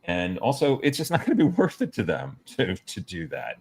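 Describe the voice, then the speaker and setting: A man in casual panel conversation, measured and hesitant as he thinks aloud. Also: mid-range voice, wildly swooping pitch, gravelly, slightly rough. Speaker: guest with TV production experience.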